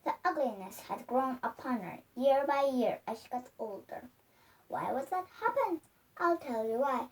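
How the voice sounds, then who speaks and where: Young female child reader, high voice, halting storybook delivery, picked up from a distance. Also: animated. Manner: careful, halting reading with misreadings. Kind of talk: storybook read-aloud. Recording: distant microphone.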